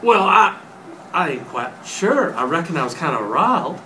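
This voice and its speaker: A man playing a rustic, folksy farmer, halting and rambling as he tells his story in a radio play. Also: a little high, sing-song, bright, forceful tone. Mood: eager, folksy. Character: folksy, rambling male farmer being interviewed. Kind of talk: 1930s radio drama acting. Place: hotel conference room, panel table. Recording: slightly noisy live room recording.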